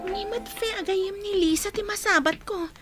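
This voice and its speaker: A woman's voice: very high-pitched, moderate pace, music in the background. A woman acting a helpful, take-charge young woman in an Ilocano radio drama. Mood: resolute.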